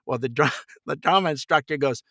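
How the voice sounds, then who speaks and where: A man's voice: on the high side, brisk, no echo. A man in his sixties chatting casually as a podcast guest, telling anecdotes and acting out quoted remarks, close on a studio mic. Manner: animated storyteller, acts out quoted remarks, chuckles as talking. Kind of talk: casual anecdotal interview chat. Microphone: close studio microphone.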